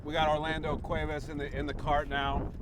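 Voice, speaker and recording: A man's voice: a little high, completely flat delivery, fairly quick, background noise. A man in his forties, hosting a casual chat outdoors on a golf course, telling rambling stories full of "like" and "you know". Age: 40s. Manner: rambling, filler-heavy storytelling. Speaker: host.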